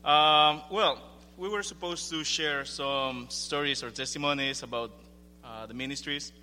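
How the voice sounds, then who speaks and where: A man's voice: on the high side, moderate pace, pushed and bright. A young man from Ecuador with a Spanish accent, giving an informal, hesitant and self-deprecating update to a congregation. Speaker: young adults ministry leader. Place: large room, speaking to a gathering. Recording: echoing hall with faint hum and noise.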